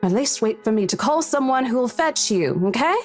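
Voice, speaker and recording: A woman's voice: fairly high pitch, lively intonation, brisk, lightly acted. A woman as an earnest, caring game heroine over music. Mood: firm, worried. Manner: earnest, coaxing, insistent. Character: caring, firm young female real estate agent. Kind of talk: visual novel game voice acting. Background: background music.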